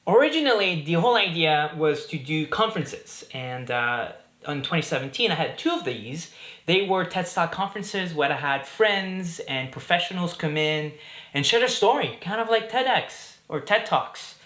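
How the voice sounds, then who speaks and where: A man's voice: fairly high pitch, animated, moderate pace, pushed and bright, roomy sound. A man from Belgium in his thirties, hosting a casual talk to camera in an upbeat, chatty way. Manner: upbeat and chatty, with fillers and jokes. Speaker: host.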